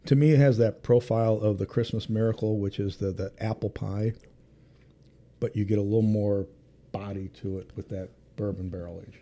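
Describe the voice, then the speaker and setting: Male podcast guest: low, very dark tone, conversational, halting delivery. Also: fairly even intonation. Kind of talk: casual chat.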